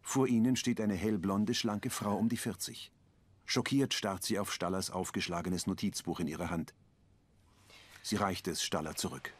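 A man's voice: low-pitched, steady tone, normal speed, slight background noise. A man reading scripted audio description, narrating screen action in the present tense.

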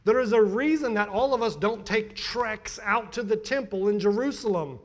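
A middle-aged American man giving a sermon as a pastor, emphatic and deliberate in his delivery. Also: sits high. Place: church pulpit, indoors.

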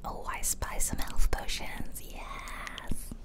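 A woman speaks in a soft, steady whisper, very close to the microphone. She is chatty and gentle, in the manner of an ASMR host talking a viewer through a game. Her voice stays hushed and airy, with little solid tone under the breath. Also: takes it slowly. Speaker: gaming video host. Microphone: close microphone, ear to ear.

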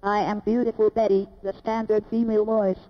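A woman's voice: medium pitch, level tone, fairly quick, natural voice. Fields: Figure: robotic synthetic woman played by a speech synthesizer. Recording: dull playback in a large hall. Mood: flat. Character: synthetic standard female speech voice.

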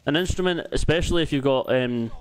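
A man's voice: medium pitch, fast talker, slight background noise. A Scottish man chatting casually and bluntly with a teammate over a headset during an online game, swearing now and then. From Scotland. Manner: relaxed, blunt, sprinkled with swearing. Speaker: gaming teammate.

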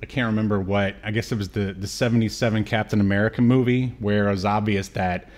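A man's voice: on the low side, fairly even intonation, fast, a little mellow. A middle-aged man co-hosting a podcast over a video call, discussing movies casually in a joking manner. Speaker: podcast co-host. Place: indoor room, video call.

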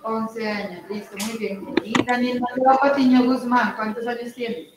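A young adult woman teacher with a Colombian accent, taking roll in an online class on a laptop mic, brisk and repetitive. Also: fairly high pitch, steady tone, noisy background. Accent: Colombian Spanish. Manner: brisk, repeats names and ages, says "listo". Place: indoors at home on a video call. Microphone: laptop mic on video call. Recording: very echoey room.

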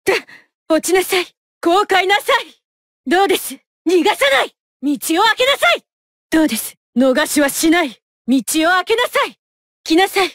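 High-voiced, haughty young lady knight. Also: very animated, normal speed, fairly bright tone, no echo, studio quality, heavily acted. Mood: commanding. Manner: formal, polite yet imperious, clipped battle cries. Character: poised, haughty young female knight, coolly ruthless in battle. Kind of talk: Japanese fighting game battle voice lines.

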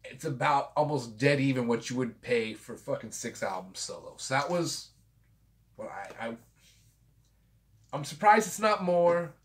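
Chatty tipsy man rambling at his desk. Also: medium pitch. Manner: loose and chatty, swears freely, tipsy. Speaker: vinyl collector channel host. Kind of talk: casual rambling vlog. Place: small home room with framed pictures and a guitar.

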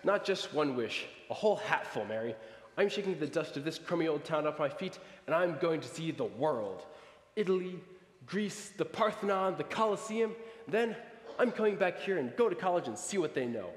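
A man's voice: fairly high pitch, sing-song, normal speed. A man playing an eager, dreamy, boyish young small-town man who stammers, in a 1940s radio play. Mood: dreamy, excited. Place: large church stage before an audience. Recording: echoey hall from a stage.

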